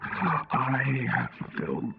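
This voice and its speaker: A solemn, gentle alien creature at death's door in an audio story. It speaks extremely slowly, in weak, formal, laboured phrases. The sound is muffled and distorted under clear rumbling noise. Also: male voice, wildly swooping pitch, exaggerated voice. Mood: weak, resigned. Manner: formal, halting, resigned. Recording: muffled, heavy noisy rumble.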